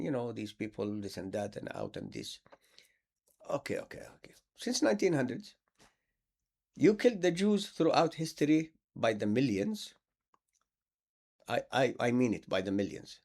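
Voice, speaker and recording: Male preacher, gravelly and animated, sarcastic and repetitive. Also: medium pitch. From Palestine. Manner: sarcastic, repeats words for emphasis. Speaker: Islamic preacher. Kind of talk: impassioned monologue.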